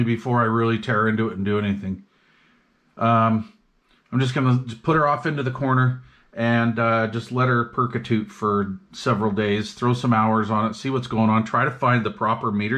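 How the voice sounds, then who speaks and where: A man's voice: medium pitch, a bit bright. A man chatting casually and thinking aloud in slangy, unscripted talk as he bench-tests radio gear. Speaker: hobbyist host demonstrating and testing an old CB radio. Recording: noisy, radio static and chatter behind. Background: CB radio receiver hiss and chatter.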